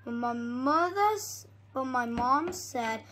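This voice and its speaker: A young reader reading a storybook aloud haltingly, stumbling over and repeating words. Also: high voice, animated, pushed and bright, slight background noise.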